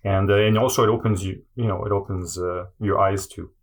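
A man with a Quebec French accent speaks in a lowish voice. He answers questions hesitantly, trailing off and restarting, with a steady stream of fillers. French is his first language. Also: a little fast. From Montreal, Quebec. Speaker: guest. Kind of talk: casual interview answers.

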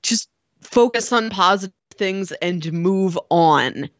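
A woman speaks as a co-host in a casual film discussion, with a medium-pitched voice. She often slips into imagined dialogue to make her point. The sound is dry, with very little room in it. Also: lively intonation, slow, dry recording. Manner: acts out imagined dialogue while explaining. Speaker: podcast co-host discussing films.

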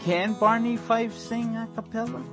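A man's voice: very high-pitched, steady tone, moderate pace, music in the background. A man co-hosting a radio show in casual, unscripted chat. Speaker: co-host.

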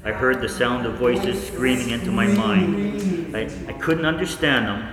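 A man acting a haunted scientist recounting cosmic horror, dramatically and deliberately, in a 1940s-style radio play. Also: mid-range voice, lively intonation, fairly bright tone. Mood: anguished. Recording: echoey hall, long reverb, faint noise.

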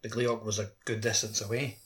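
A man with a low voice, co-hosting a casual podcast. He tells loose, rambling stories, filling them with "like". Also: little pitch movement, fairly quick, fairly bright tone. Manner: rambling storytelling, lots of "like". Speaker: podcast co-host.

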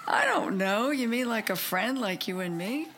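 A woman's voice: on the high side, huge pitch swings, takes it slowly, pushed and bright, slight background noise, exaggerated voice. A woman voicing a cheeky little schoolgirl in a radio play, with a playful, teasing manner. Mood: teasing, curious.

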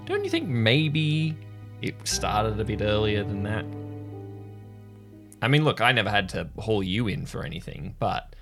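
A man's voice: fairly low pitch, sing-song, unhurried, vocal fry, over music, heavily acted. A man playing a kind, thoughtful listener in an improvised audio drama, feeling out his words hesitantly. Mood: gently probing. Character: gentle counselling acquaintance, slightly awkward, thoughtful, kind.

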